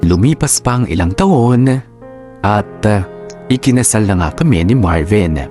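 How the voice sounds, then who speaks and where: A man with a Filipino accent narrating a wife's sentimental love story in the first person, in a deliberate radio drama style. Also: on the low side, animated, dark tone, faint music behind. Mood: reflective. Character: aggrieved wife recounting her marriage.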